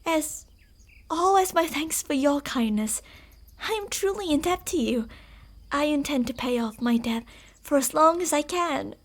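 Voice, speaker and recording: A woman playing a calm, possessive fox spirit. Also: sits high, unhurried. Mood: devoted. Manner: calm, formal and quietly manipulative; soft-spoken, dipping into whispers. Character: obsessive female fox spirit in a borrowed body. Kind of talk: ASMR roleplay.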